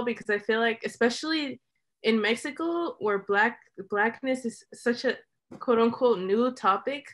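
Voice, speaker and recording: A woman's voice: mid-range voice, a bit bright. A young American woman, a documentary filmmaker, talks casually and discursively about their work on a video call, often saying "like". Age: young adult. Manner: informal, discursive, frequent "like", chuckles while talking. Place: small room at home, indoors. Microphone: computer mic on a video call.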